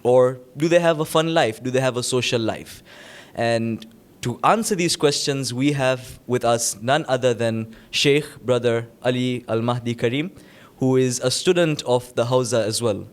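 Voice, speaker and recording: Male podcast host, mid-pitched voice, upbeat, curious interviewer. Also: moderate pace.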